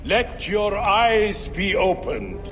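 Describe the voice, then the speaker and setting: In a long reverberant space, a mystical Egyptian seer, a man with a high voice. He intones very slowly, grand and reverent, like a priest in a game's ritual scene. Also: sing-song, full character voice. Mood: solemn incantation. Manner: incantatory, grandly solemn. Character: mystical male seer chanting ancient rituals, commanding and reverent. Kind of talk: dramatic video game acting. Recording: long reverberant hall, faint music behind.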